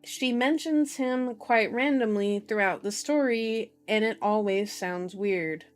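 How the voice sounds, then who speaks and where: A woman's voice: medium pitch, takes it slowly, soft background music. A woman reading a novel aloud in an ASMR style, with informal, giggly asides, as a streamer. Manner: giggly, self-interrupting, informal.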